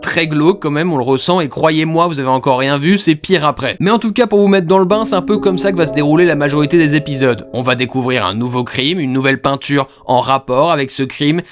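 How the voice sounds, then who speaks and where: A man's voice: fairly high pitch, quick pace, few pauses. A man giving casual, slangy horror commentary as a YouTube host, talking conversationally. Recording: music behind the voice. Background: music.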